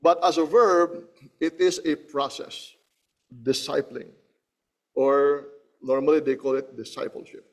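A man preaching a sermon, teaching slowly from slides, repeating phrases and often checking with "Okay?" and clearing his throat between points. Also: fairly high pitch, steady tone. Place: church.

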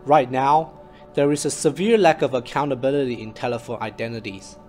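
A man with a mid-pitched voice reads a scripted explainer as a researcher. His delivery is measured and formal. Instrumental music plays behind him. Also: a little mellow. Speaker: researcher presenting a project. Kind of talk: scripted narration, explainer presentation.

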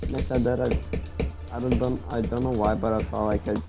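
A friend talking over voice chat in a slow, hesitant way, often starting over. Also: mid-range voice, flat delivery, over loud music. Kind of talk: casual chat.

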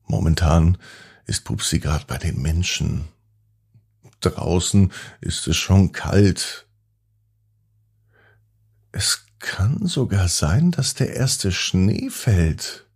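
A man hosting a children's podcast, narrating expressively and gently with drawn-out emphasis. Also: low voice, lively intonation, creaky, slightly rough. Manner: gentle, drawn-out emphasis, addressing young listeners. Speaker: children's podcast host and storyteller.

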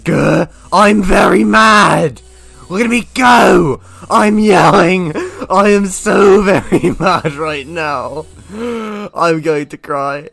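A man's voice: a little high, a little slow, fairly bright tone. A man voicing a hot-tempered, whiny game-show host in an amateur web cartoon, given to insults and dramatic outbursts. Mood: shouting rage.

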